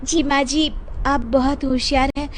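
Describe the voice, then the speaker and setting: A woman voicing a polite young working wife, meek and deferential but gently reasoning, in a cartoon story. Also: high-pitched, flat delivery, moderate pace, noisy background, voice pushed up, lightly acted.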